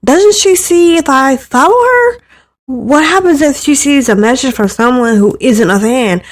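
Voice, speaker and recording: A high-voiced, insecure eighteen-year-old girl from a visual novel , played by a woman. She frets in a flustered way and doubts herself at every turn. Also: expressive, unhurried. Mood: anxious fretting. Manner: flustered, fretful questioning.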